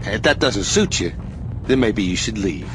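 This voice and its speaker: A man's voice: fairly low pitch, animated, moderate pace, background noise, close to an everyday voice. An actor playing a cocky, swaggering male space racer who taunts with a showy manner, in a game cutscene. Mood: taunting. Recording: game cutscene with loud music.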